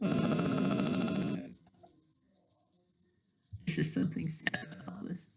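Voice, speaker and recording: A person thinking aloud in a casual, digressive commentary over study notes, halting often and talking in an informal, self-deprecating way. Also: heavy background noise. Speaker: solo online commentator.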